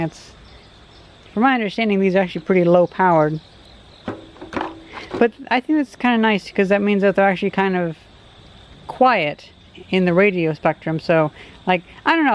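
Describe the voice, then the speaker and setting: Casual vlogger thinking aloud, slightly dull outdoor audio. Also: medium pitch. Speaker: vlogger testing radio gear. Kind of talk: casual unscripted field commentary. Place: outdoors along a road. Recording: dull audio, faint background noise.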